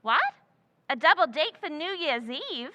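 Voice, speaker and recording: A woman playing a giddy, shrill husband hunter. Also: animated, a little slow, bright, forceful tone, highs cut off, heavily acted. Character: man-hungry, scatterbrained young woman Miss Duffy. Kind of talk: old-time radio comedy recreation.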